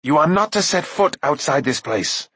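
A man voicing an earnest, worried young adventurer in a storybook, speaking gravely and firmly. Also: mid-range voice, moderate pace, no echo, lightly acted. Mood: angry. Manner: grave, firm, urgent.

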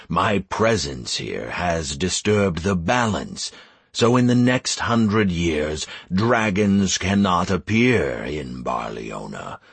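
A man voicing an ancient male dragon in an audiobook, solemn and commanding, pronouncing slow, ponderous decrees. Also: deep voice, slightly rough, dry recording, pitched below the performer's own voice, exaggerated voice. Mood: grave, astonished.

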